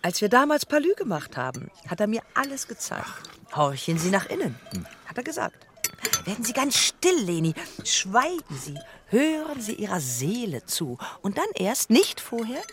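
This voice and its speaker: Actress doing a vain prickly film diva who directs, radio play, super animated pitch, theatrical. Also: female voice, normal speed, a little hiss or hum, close to an everyday voice. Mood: solemn, self-dramatizing. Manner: prickly, self-important, theatrical. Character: vain, imperious female film director and star. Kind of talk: radio drama acting.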